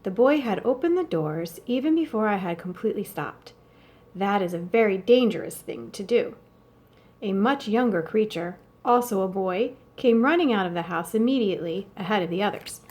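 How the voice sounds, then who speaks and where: A woman's voice: medium pitch, animated, normal speed, darkish tone, lightly acted. Woman reading as a prim male chauffeur. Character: formal, prim male chauffeur reporting to his employer.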